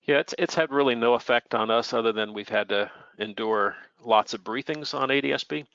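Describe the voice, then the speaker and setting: A man's voice: medium pitch, brisk, creaky, fairly bright tone, smooth, dry recording, dull audio. A plainspoken, matter-of-fact man giving an informal technical explanation as an expert air traffic controller.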